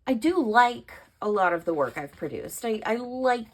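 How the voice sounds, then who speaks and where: A woman with a hoarse voice from allergies, chatting casually to camera about her art process and thinking aloud with many fillers. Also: a little high, lively intonation, moderate pace. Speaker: artist vlogger.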